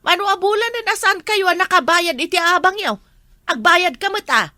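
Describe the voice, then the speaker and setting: A woman's voice: very high-pitched, moderate pace, sharp tone, no echo, clean studio sound. A woman playing a stern, impatient landlady in an Ilocano radio drama. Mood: irritated, demanding. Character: stern impatient landlady demanding overdue rent.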